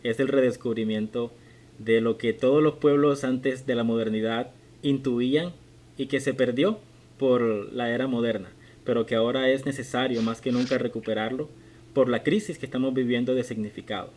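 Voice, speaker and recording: A man in his late twenties hosting a podcast interview, asking measured questions with occasional restarts. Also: mid-range voice, steady tone, darkish tone, slight background noise. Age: 20s. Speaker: podcast host. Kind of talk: conversational interview.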